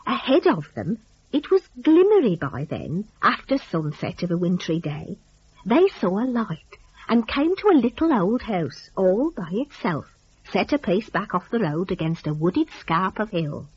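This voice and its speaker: A woman's voice: mid-range voice, sing-song, measured pace, breathy edge, muffled recording. A woman telling a story dramatically, in a folksy, conversational rustic idiom.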